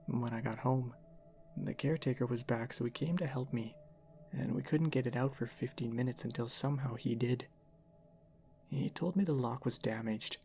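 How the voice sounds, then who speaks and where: A man narrating a story in an ASMR style, reading in a measured, unhurried way. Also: mid-range voice, vocal fry, a little mellow.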